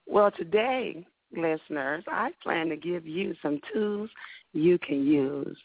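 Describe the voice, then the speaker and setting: A raspy woman hosts a casual radio talk show, upbeat and chatty, often repeating words as she goes. Her voice has a gravelly edge. It sounds dry and narrow, like it is coming over a phone line. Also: on the low side, lively intonation, slow, no room sound. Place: radio studio.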